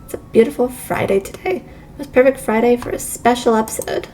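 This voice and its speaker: A woman's voice: fairly high pitch, steady tone, a little fast, lightly acted. A woman voicing a chirpy, kind children's show hostess, speaking simply and sweetly to young viewers in a visual novel. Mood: cheerful, excited.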